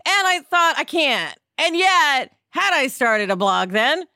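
A woman's voice: high-pitched, big pitch swings, slow, bright, forceful tone, no room sound, clean studio sound. A woman co-hosting a podcast, talking casually in an earnest, encouraging way. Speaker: podcast co-host. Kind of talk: casual conversational discussion.

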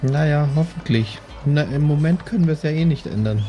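A man voicing a prim, kindly but strict lady adventurer in an improvised roleplay audio drama, genteel and gently scolding. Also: on the high side, a little fast, soft background music, natural voice. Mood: mildly worried.

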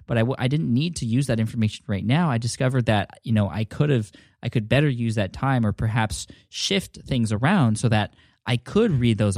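A man's voice: medium pitch, darkish tone, no room sound, clean studio sound. A man hosting his own podcast, talking in a friendly, casual way and often restarting his sentences as he thinks aloud. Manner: friendly, rambling, frequent restarts. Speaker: podcast host. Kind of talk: casual solo podcast talk.

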